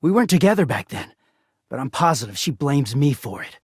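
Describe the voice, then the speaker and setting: Exasperated young laundry guy, video game character, sardonic, foul-mouthed, animated pitch, rough-edged tone, close dry sound. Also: brisk, no room sound. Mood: bitter, resentful. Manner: sardonic, foul-mouthed, swinging from muttering to shouting. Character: bitter, exasperated young male dirty-laundry character in toxic romance.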